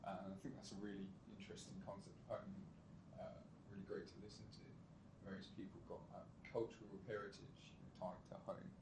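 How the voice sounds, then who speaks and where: Hesitant low-voiced man in an echoey room. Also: little pitch movement, fairly quick. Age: young adult. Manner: summarizing, hesitant with many fillers. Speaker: panel member. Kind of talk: panel discussion. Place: discussion room. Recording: echoey room, distant mic, background noise.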